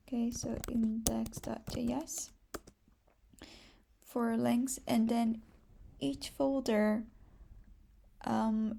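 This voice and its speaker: A soft-spoken woman with a Brazilian accent, a native Portuguese speaker, giving a casual step-by-step screencast tutorial. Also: a little high, vocal fry. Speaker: programming tutorial host.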